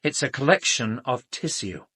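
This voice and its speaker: Haughty, husky British doctor. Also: male voice, medium pitch, very animated, normal speed, pushed and bright, heavily acted. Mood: self-justifying. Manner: haughty, precise, emphatic. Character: arrogant, self-justifying male doctor under police questioning. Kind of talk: British radio drama acting.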